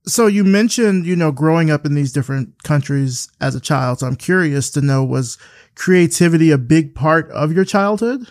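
A man's voice: on the high side, expressive, moderate pace, a little mellow, no echo, clean studio sound. A man hosting a podcast, asking questions in a casual, conversational interviewing style.

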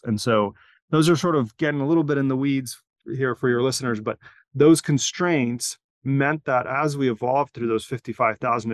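Measured man explaining, dry close sound. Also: mid-range voice, no room sound. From born in Crimea, raised in Virginia. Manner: measured explanatory, frequent restarts. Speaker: company founder. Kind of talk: interview answers.

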